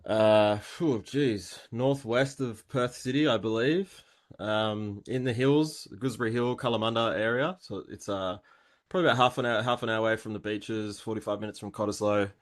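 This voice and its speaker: A man from Western Australia with an Australian accent, a podcast guest talking in a relaxed, easygoing way. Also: lowish voice, moderate pace. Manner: laid-back, laughs easily. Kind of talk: casual interview chat.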